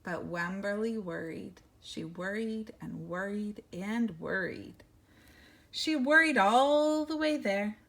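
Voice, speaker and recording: A woman's voice: mid-range voice, lively intonation, slow, creaky, fairly bright tone. A woman reading a picture book aloud expressively, like a teacher talking to young children, with asides to her listeners.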